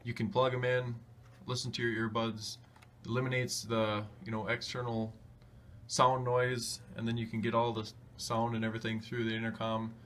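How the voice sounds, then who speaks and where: A man's voice: medium pitch, completely flat delivery, moderate pace, a bit bright. A man hosting a DIY tutorial, giving a casual, plain-spoken hands-on walkthrough in an easygoing way. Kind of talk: casual hands-on how-to narration. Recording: clearly noisy home video sound.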